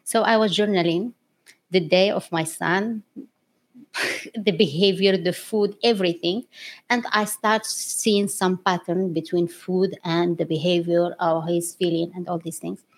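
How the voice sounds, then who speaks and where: A woman's voice: on the low side, fairly even intonation, unhurried. A woman from Morocco telling her story as an interview guest, speaking English as a later language with frequent laughs. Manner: laughs often, non-native English grammar.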